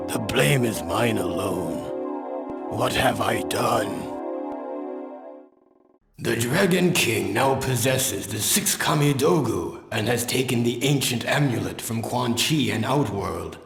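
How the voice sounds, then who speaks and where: An actor playing an aging noble warrior in a video game, earnest and remorseful, speaking in a solemn, formal way. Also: male voice, fairly low pitch, gravelly. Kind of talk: dramatic game voice acting. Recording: long reverb, loud music behind.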